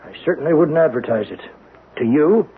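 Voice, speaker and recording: A man's voice: a little high, expressive, a little fast, narrow, tinny audio, close to an everyday voice. A man acting as a guarded army colonel in a radio drama, formal and probing, on a noisy old recording. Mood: guarded, firm.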